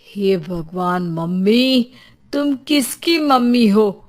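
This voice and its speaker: A woman voicing a sceptical, level-headed young man who reasons with others in an animated story. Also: mid-range voice, takes it slowly. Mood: pleading.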